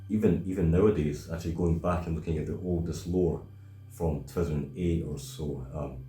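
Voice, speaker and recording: A man around thirty with a very low, mellow voice hosts a reflective chat. He speaks haltingly and thoughtfully in a roomy, echoing space. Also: a little hiss or hum. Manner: halting, thoughtful, repeats words. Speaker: video host. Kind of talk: reflective casual discussion. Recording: roomy echo, faint music.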